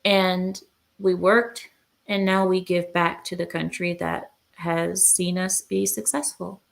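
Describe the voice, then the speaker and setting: A woman's voice: mid-range voice, creaky. A woman hosting a casual livestream, bluntly giving her opinions and replying to viewer comments. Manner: blunt and opinionated, with frequent hesitations. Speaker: livestream host.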